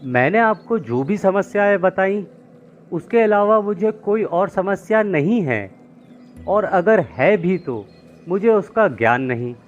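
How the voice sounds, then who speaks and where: A man's voice: high-pitched, animated, measured pace, slight background noise. A man voicing a distressed, complaining farmer in an acted moral story, pleading and dramatic. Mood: disappointed, accusing. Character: distressed, complaining farmer seeking a holy man's help. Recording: muffled lo-fi with music behind.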